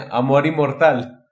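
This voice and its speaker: A man hosting a casual podcast chat about anime, explaining things in a rambling, halting way with repeated words. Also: a little high. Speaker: podcast host.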